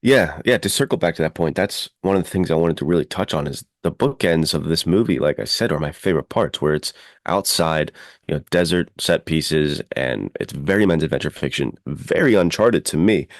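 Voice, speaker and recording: A man hosting a podcast, discussing films casually and in an animated way. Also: extremely low pitch, fairly quick, no room sound, studio quality. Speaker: podcast host.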